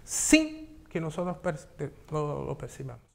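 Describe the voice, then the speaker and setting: Slow, halting man in a TV studio. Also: on the high side, big pitch swings, vocal fry, a little mellow. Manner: slow, thoughtful, halting with repeats. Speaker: studio guest. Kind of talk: studio interview talk. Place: TV studio set.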